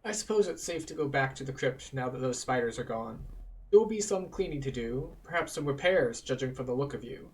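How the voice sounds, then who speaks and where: A man's voice: mid-range voice, animated, fairly quick, distant mic, natural voice, poor audio. A man voicing a polite, fretful crypt keeper, reading fantasy game dialogue in a genteel, hesitant way.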